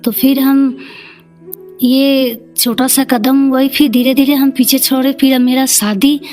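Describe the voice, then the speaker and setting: A woman with an eastern Indian regional Hindi accent shares a personal story. Her voice sits fairly high and moves very slowly, in halting, plain, colloquial phrases. Soft music plays behind her. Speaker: community leader, podcast guest.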